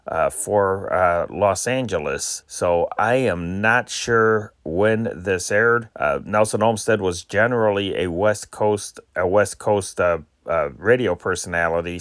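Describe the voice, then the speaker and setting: A man with an American accent speaks as a casual host in a lowish voice. The sound is very dry, and he talks in a hesitant, meandering way. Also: a bit bright, no room sound. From Chicago area, United States. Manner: hesitant, rambling. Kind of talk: casual radio-show introduction.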